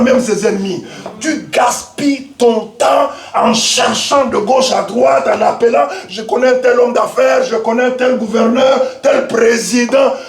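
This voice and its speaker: Shouting preacher in an echoing church. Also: male voice, extremely high pitch, gravelly. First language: Lingala. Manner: alternates quiet asides with loud shouted exhortation. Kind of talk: Pentecostal sermon. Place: church hall. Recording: echoey church hall with faint noise.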